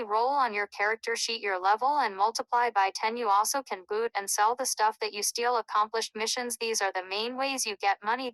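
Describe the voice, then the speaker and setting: A woman's voice: fairly high pitch, a bit bright, no echo, rough recording. A woman giving an informal, plain-spoken tutorial on the rules of a tabletop role-playing game.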